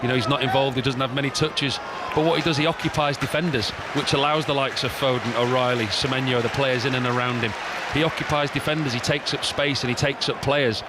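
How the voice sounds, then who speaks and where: A man's voice: mid-range voice, fairly even intonation, fast, over a noisy crowd. A man giving expert co-commentary on a football match, analysing the play and criticising poor finishing. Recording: noisy background.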